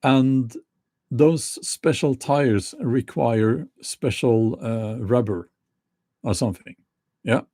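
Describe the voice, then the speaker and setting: A middle-aged man with a Norwegian accent talking casually to camera, slow and halting with many restarts. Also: mid-range voice, warm tone, no room sound, studio quality. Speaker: YouTuber.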